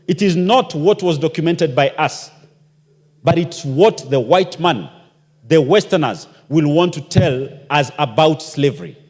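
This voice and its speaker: A man's voice: fairly high pitch, expressive, a little slow, a little mellow. A man giving an impassioned parliamentary speech, firing off rhetorical questions as a member of parliament. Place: parliamentary chamber. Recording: long reverb of a large chamber.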